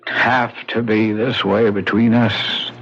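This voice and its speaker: A man's voice: fairly low pitch, little pitch movement, takes it slowly, fairly bright tone, exaggerated voice. An actor playing a bitter, wounded older father of grown daughters in a 1970s radio drama. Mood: wounded, pleading. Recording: muffled 1970s radio recording.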